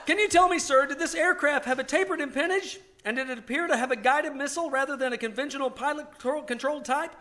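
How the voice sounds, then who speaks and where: A man's voice: very high voice, fast, few pauses, bright, forceful tone. A man playing an eager, polite and wordy young retired Air Force colonel in old-time radio comedy style. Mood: earnest, proud. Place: live stage performance at a winery.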